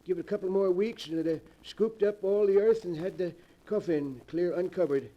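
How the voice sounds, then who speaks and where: An actor playing an old caretaker in a 1940s radio drama, folksy, hesitant and deferential. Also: male voice, high voice, normal speed. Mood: folksy, matter-of-fact. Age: older. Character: old caretaker, folksy, deferential, plain-spoken rustic man. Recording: dull old radio recording.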